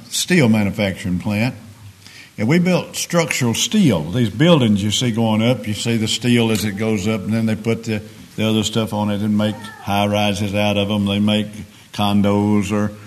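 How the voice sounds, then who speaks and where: A middle-aged man preaching a sermon in a hoarse, raspy voice, speaking plainly with homespun examples and frequent restarts. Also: on the low side.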